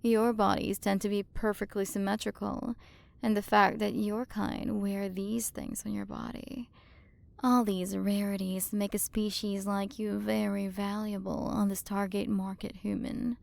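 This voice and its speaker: A woman performs an imperious alien galaxy queen in an intimate ASMR roleplay. She speaks slowly at a medium female pitch with plenty of vocal fry. Her manner is condescending, flirty and possessive, as if toying with a smaller creature she owns. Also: flat delivery, darkish tone, dry recording. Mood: appraising, playful. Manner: condescending, flirtatious, drawn-out delivery with breathy drops into whispers. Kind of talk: ASMR roleplay, scripted character acting.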